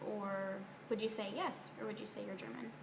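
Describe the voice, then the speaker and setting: A woman's voice: mid-range voice, fairly even intonation, fairly quick, creaky. A woman interviewer putting prepared questions to a guest. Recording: echoey room, faint background noise.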